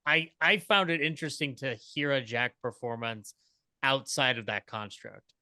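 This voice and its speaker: A man talking casually as a panelist, halting and thinking aloud as he goes. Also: mid-range voice, pushed and bright, dry recording. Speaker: podcast panelist.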